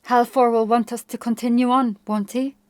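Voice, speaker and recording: A woman's voice: fairly high pitch, little pitch movement, normal speed, a little mellow, no echo, pitched above the performer's own voice, natural voice. A woman voicing a young Norse warrior, stern and questioning, with terse, grave lines in an audiobook. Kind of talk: audiobook character acting.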